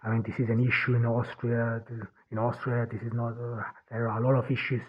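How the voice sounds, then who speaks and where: A man whose first language is German, hosting a solo podcast and talking in a halting way, with many restarts and repeated words. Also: medium pitch, completely flat delivery. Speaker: podcast host. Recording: tinny phone-like sound.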